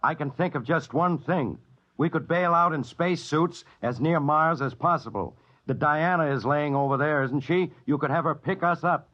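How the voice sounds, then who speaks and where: A man's voice: a little high, moderate pace, lightly acted. A man acting a responsible, strained captain of a crippled spaceship in a 1950s-style radio drama. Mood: urgent. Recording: muffled, noisy old-time radio broadcast.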